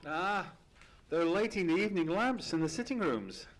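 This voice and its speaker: Actor as radio-drama gentleman sidekick: earnest, puzzled, formal, middle register, very varied intonation. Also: medium pitch, lively intonation, moderate pace, music in the background, natural voice. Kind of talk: old-time radio play acting. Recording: live stage radio play recording.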